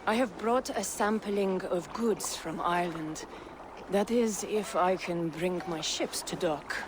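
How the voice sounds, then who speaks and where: Sly, courteous woman trader, ambient noise. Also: fairly low pitch. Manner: formal, measured, playfully evasive. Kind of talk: video game dramatic dialogue. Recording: clear background ambience noise.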